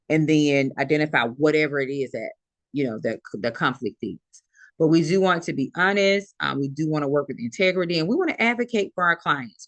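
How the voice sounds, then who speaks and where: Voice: mid-range voice, a bit bright, no room sound. A nursing instructor giving a recorded classroom lecture in a conversational way, restating each point and using many fillers.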